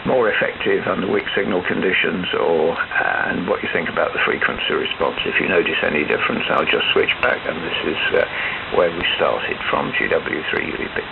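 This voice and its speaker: A man talking haltingly over ham radio as he tests his equipment, casual and hesitant. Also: deep voice. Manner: hesitant, throat-clearing. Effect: shortwave radio transmission. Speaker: amateur radio operator. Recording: dull shortwave radio signal, heavy loud hiss. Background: loud radio static.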